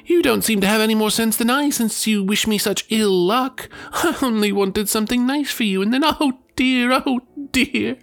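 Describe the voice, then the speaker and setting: A man voicing a flustered, weepy old peasant woman from a storybook, with a husky, creaky voice. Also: high voice, moderate pace, hardly any pauses, a bit bright, pitched above the performer's own voice. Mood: sobbing.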